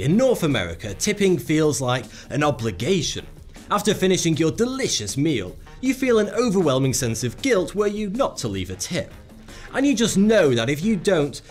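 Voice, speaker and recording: A man narrates an explainer script in a sarcastic, wry tone. His pitch rises and falls in a lively way, and faint music runs under the voice.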